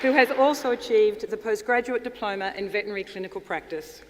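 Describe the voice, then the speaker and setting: A woman announcer formally reading out names at a ceremony in a measured manner. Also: mid-range voice. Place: large ceremony hall. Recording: echoey hall with loud audience noise. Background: loud crowd noise.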